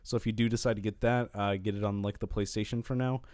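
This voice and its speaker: A man's voice: lowish voice, steady tone, quick pace, darkish tone, dry recording, studio quality. A man co-hosting a podcast, chatting casually among friends and giving blunt, informal opinions. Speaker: podcast co-host.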